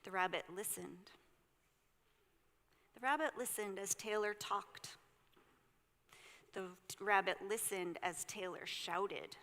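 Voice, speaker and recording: A woman reading a children's story aloud expressively and deliberately, with gentle delivery and dramatic pauses. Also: mid-range voice. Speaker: storyteller at a church service. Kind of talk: expressive read-aloud of a children's picture book. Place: church sanctuary. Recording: hall reverb.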